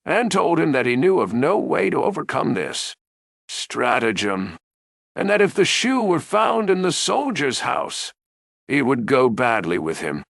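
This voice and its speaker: A man narrating a bedtime fairy tale as a storyteller, in an expressive, deliberate way. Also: medium pitch, creaky, no echo, clean studio sound.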